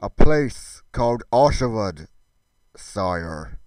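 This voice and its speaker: A man playing a deferential informant reporting to his lord in a game roleplay, with formal, halting old-fashioned speech. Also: on the low side, very animated, darkish tone, no echo, voice pushed down, full character voice. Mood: dutiful. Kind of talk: amateur game roleplay drama.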